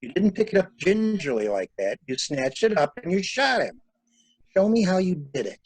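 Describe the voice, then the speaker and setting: A man acting a sharp, sardonic stranded traveler who probes insistently, in a dramatic mystery reading. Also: high voice, sing-song, normal speed, breathy edge, dry recording, heavily acted, low-quality recording. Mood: goading. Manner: probing, insistent, theatrical. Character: stranded male traveler turned sharp, sardonic amateur interrogator.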